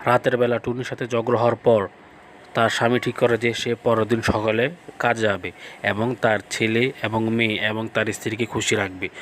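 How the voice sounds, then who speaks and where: A man's voice: mid-range voice, a little hiss or hum. A man narrating a cartoon folk tale in a simple, repetitive storytelling manner.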